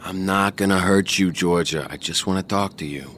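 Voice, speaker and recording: A man's voice: sits low, moderate pace, a bit bright, a little hiss or hum. An actor playing a possessive, volatile man who swings between whispered threats and desperate pleading. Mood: menacing. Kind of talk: audio drama acting.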